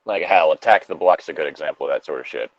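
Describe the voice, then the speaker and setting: A man's voice: rumbling low, quick pace, gravelly, no echo, lo-fi sound. A man co-hosting a podcast, chatting casually and giving opinions on horror in a rambling, sweary way. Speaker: podcast co-host.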